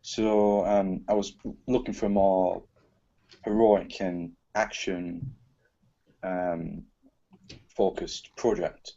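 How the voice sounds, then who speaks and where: A man's voice: low-pitched, flat delivery, slow, creaky, long pauses, dark tone, highs cut off. A man who works as a composer, answering casually in an interview.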